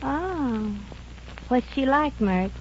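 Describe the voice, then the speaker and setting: A sassy, jealous young woman in an old radio comedy. Her slangy, sarcastic lines swing widely in pitch. The sound is muffled, with a noisy vintage broadcast hiss. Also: animated, drawn-out words, exaggerated voice. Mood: suspicious. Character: brassy, jealous, wisecracking young woman, devoted girlfriend. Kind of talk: 1950s radio comedy acting.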